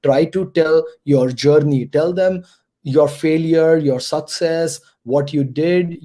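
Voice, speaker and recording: A man's voice: on the high side, slow pace, dark tone. A man mentoring students through an online career workshop, repeating key points and checking in with the audience.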